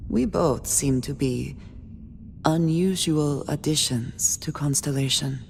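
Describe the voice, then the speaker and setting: An actress playing a guarded, steely-calm woman space explorer in a video game, speaking in formal, measured sentences. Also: sits low, creaky, long reverb tail, a little hiss or hum, heavily acted. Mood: guarded, stern. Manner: formal, measured speech without contractions. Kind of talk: video game cutscene acting.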